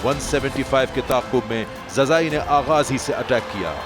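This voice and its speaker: A man's voice: medium pitch, rapid-fire, music in the background. A man reading scripted sports documentary narration, switching between English and Urdu.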